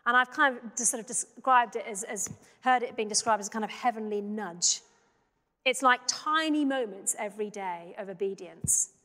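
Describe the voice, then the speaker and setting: A woman in her thirties, a pastor, giving a sermon in a church in a measured, reflective, conversational way. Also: mid-range voice, pushed and bright. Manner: measured, reflective, conversational preaching with small restarts. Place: church sanctuary. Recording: long church reverb.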